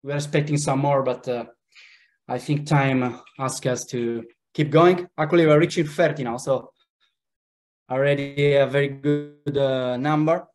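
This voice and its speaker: A man with an Italian accent hosts an online webinar in a relaxed way, pausing often with "uh" fillers. He speaks over a video call from a home office. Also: medium pitch, soft background music. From Italy. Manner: relaxed, hesitant, with frequent "uh" fillers. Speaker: webinar host.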